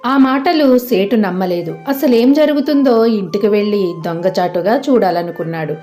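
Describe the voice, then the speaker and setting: A woman's voice: mid-range voice, moderate pace, mellow. A woman narrating a scripted moral story for children as a storyteller. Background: faint music.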